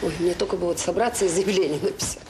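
An actress plays a middle-aged woman in a Soviet radio drama, restless and insistent, as if talking herself into things. Her voice has a creak to it, and clear noise runs through the old recording. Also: lowish voice, steady tone, fast, close to an everyday voice. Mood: agitated.

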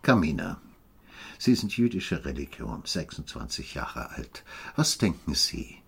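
An actor playing a stern, world-weary small-town official, measured and deliberate, in a German radio play. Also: male voice, very deep voice, sing-song, gravelly, a bit bright, slight background noise, exaggerated voice. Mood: stern, probing.

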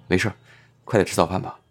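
Man playing a low-voiced, gentle, modest actor. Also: steady tone, normal speed, faint music behind, natural voice. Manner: polite, mild, hesitant. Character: modest, gentle, considerate male minor actor. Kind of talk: audio drama acting.

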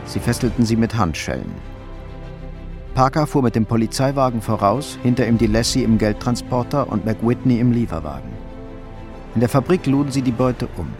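An actor playing the sober narrator of a radio crime drama, telling events in a measured, factual way. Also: male voice, medium pitch, lively intonation, over music, close to an everyday voice. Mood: sober, suspenseful.

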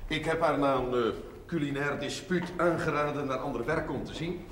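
A man's voice: fairly high pitch, normal speed, fairly bright tone, lightly acted. An actor playing an urbane, self-assured clergyman in a television drama, with a dry, ironic and formal manner. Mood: dryly amused. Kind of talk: television period drama dialogue. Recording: noisy, echoey 1970s television audio.

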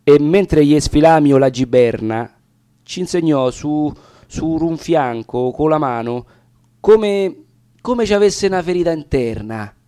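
A man's voice: a little high, expressive, measured pace, warm tone, clear, resonant tone, no echo, clean studio sound. A man with a Roman accent reciting narrative dialect verse in a solemn, theatrical manner. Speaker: reader of dialect poetry.

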